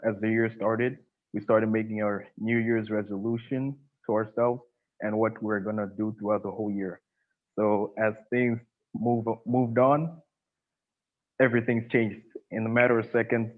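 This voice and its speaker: A man from Uganda giving an impromptu speech on a video call, with a slow and deliberate delivery. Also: fairly low pitch, steady tone. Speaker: meeting participant. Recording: tinny phone-like call audio.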